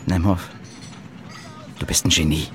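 A man's voice: low voice, steady tone, unhurried, fairly bright tone. An actor playing an earnest, intense young newspaperman investigating in wartime Berlin, in a radio drama. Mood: brooding, thoughtful. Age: young adult. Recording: clear vehicle and ambience noise behind.

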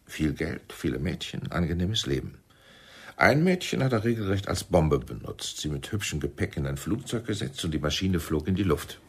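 An actor playing a commanding, smoothly persuasive intelligence chief in a radio drama, husky and deliberate. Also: male voice, a bass voice, expressive, full character voice. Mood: sardonic, commanding.

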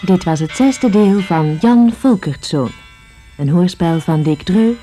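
A woman reads out the credits in a calm, measured manner. Her voice sits fairly low and sounds mellow. Music plays faintly behind her. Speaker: announcer. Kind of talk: closing credits announcement.

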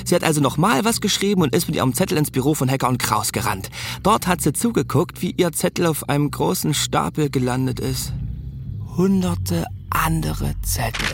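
Cheeky young sidekick, chatty, lighter voice, swoops around a lot, radio drama. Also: male voice, on the high side, lively intonation, moderate pace, vocal fry, background noise. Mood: wry. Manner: talkative, flippant. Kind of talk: radio drama, colloquial narration and dialogue.

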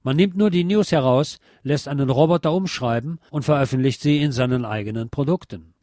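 A man narrating a scripted journalistic essay in a measured, formal read-aloud delivery. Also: mid-range voice, warm tone, no room sound, studio quality.

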